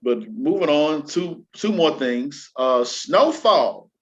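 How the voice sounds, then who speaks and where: A man's voice: on the high side, lively intonation, takes it slowly, a bit bright, muffled recording. A man hosting a casual entertainment news chat, talking in a slangy, colloquial, joking way. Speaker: talk show host.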